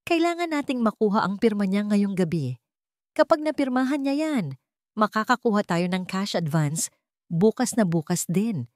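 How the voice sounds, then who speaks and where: A woman's voice: mid-range voice, big pitch swings, moderate pace, dry recording, clean studio sound, pitched above the performer's own voice, close to an everyday voice. A woman voicing a scheming, domineering older mother in an audio story, commanding and cutting. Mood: forceful, insistent. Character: scheming, domineering older matriarch, sharp and contemptuous.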